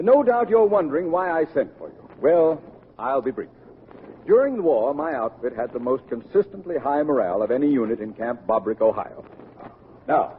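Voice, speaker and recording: An actor playing a pompous, long-winded middle-aged high school principal in a vintage radio sitcom. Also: male voice, narrow, tinny audio, close to an everyday voice. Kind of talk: 1940s-50s radio sitcom acting. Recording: vintage radio recording, hiss, studio audience.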